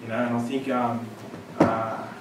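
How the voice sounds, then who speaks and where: A man's voice: mid-range voice, flat delivery, measured pace. Conversational man in an echoey lecture hall. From Syria. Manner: conversational, filler-heavy, growing forceful. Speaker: panel speaker. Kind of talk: panel answer at a conference. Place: large lecture room, standing before the panel. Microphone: handheld mic. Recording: roomy echo, distant camera, audible room noise.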